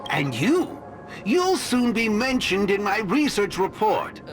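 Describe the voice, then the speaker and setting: Smug game villain, ape professor, highish swooping voice, slow pompous lecturing, loud music behind. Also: male voice, big pitch swings, exaggerated voice. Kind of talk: dramatic video game voice acting.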